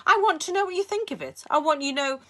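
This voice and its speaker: A woman's voice: sits high, expressive, fast, sharp tone, pitched above the performer's own voice. A woman voicing a short, cold, menacing male tycoon with an Egyptian accent, speaking in a silky, self-satisfied way. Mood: smooth menace.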